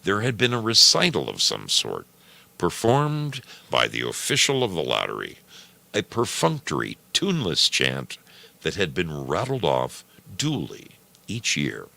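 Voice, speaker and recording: A man with an extremely deep voice narrates a story in measured phrases. His tone is gravelly and creaky, and his intonation swings in a lively way as he moves deliberately through the prose. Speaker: storyteller and program host. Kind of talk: scripted narration of a short story.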